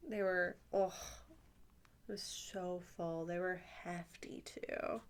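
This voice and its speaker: A soft-spoken woman chatting casually in an ASMR style, often dropping into whispers, playful and doting toward the listener. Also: on the low side, steady tone, slow pace, clean tone. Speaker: ASMR creator talking to viewers. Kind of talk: casual rambling ASMR chat.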